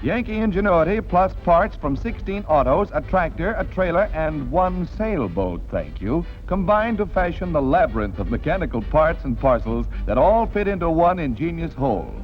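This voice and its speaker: Animated, high-pitched 1940s American newsreel man. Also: moderate pace, hardly any pauses. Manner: jaunty, wisecracking, punchy showman delivery. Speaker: newsreel narrator. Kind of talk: 1940s newsreel commentary, scripted. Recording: dull vintage newsreel soundtrack with music. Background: background music.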